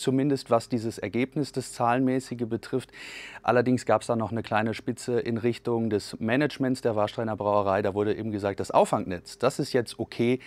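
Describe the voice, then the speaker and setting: Male regional reporter, easygoing, chatty delivery. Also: on the low side. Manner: relaxed, talkative, some fillers. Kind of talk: live news report.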